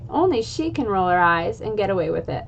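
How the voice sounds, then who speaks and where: A woman's voice: mid-range voice, big pitch swings, a little fast, vocal fry, lo-fi sound, a little hiss or hum. A woman who writes children's books, chatting casually with fillers and then reading a chapter aloud. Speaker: children's book author. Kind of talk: casual chat and read-aloud.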